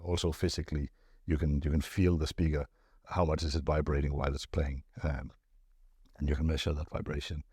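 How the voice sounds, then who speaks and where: A man giving a casual technical explanation as an expert, phrasing things thoughtfully and hesitantly with frequent pauses and repeated words. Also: a bass voice, level tone, a little mellow, husky, no echo, studio quality. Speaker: loudspeaker expert answering viewer questions.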